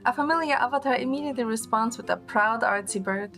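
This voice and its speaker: A woman playing a gentle young heroine, narrating her romance in the first person as scripted audio drama. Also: on the high side, fast, soft background music.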